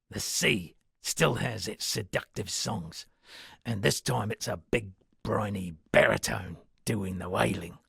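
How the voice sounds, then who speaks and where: Gravelly, eccentric sea captain. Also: male voice, fairly low pitch, a bit bright, no echo, clean studio sound, heavily acted. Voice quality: gravelly, husky. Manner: theatrical, rambling, florid old seafaring turns of phrase. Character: eccentric washed-up sea captain and would-be poet. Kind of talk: video game character dialogue.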